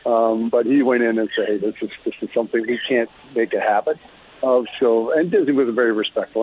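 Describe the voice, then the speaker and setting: Husky middle-aged Canadian man, muffled line. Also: fairly low pitch. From Alberta, Canada. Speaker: former pro hockey player, interview guest. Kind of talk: casual reminiscing interview. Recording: dull phone-like lo-fi sound.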